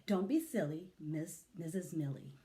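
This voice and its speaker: A woman reading a picture book aloud to young children in an expressive, deliberate manner, with little asides to her listeners. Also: low-pitched. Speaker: children's story reader, Montessori educator.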